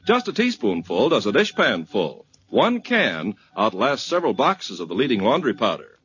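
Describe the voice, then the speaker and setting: A man's voice: mid-range voice, huge pitch swings, moderate pace, a bit bright. A man announcing a 1950s radio program and reading a sponsor's ad in an emphatic, sing-song salesman delivery. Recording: muffled 1950s radio broadcast with music.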